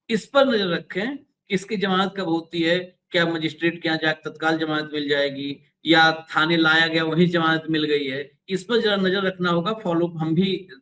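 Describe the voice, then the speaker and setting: A man's voice: high voice, fairly bright tone, poor audio. A man hosting a news discussion, commenting and repeating phrases for emphasis with some hesitation. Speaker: news show host.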